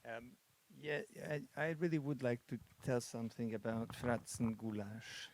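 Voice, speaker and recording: A man from Hungary, a Hungarian speaker, making halting remarks in a masterclass discussion, full of restarts and fillers. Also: medium pitch, darkish tone, low-quality recording. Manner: hesitant, many restarts and fillers. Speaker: masterclass participant.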